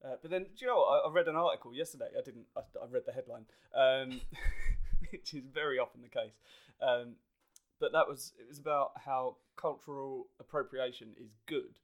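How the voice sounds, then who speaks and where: Rambling Essex-accented podcast host. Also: male voice, mid-range voice, a little fast. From Essex, England. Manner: rambling, self-deprecating, stumbles over words. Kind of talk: casual podcast chat.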